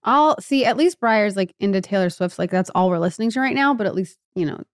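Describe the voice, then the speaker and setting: A woman podcast co-host in casual conversation, speaking in an animated, emphatic way and repeating herself. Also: medium pitch, quick pace, dry recording, clean studio sound. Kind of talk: casual chat.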